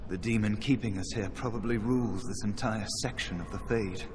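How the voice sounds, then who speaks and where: A man's voice: lowish voice, darkish tone, close to an everyday voice. Man playing a despairing mage over noisy ambience. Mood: hesitant, musing. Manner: halting, resigned, bitter. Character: despairing, weary male mage trapped in a dream realm. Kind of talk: dramatic video game dialogue. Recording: game audio with noisy ambience behind.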